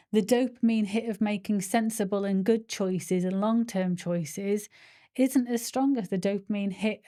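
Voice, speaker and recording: A woman's voice: mid-range voice, mellow. A woman, a podcast co-host and hypnotherapist, talking in a passionate, ranting way. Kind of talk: casual chat.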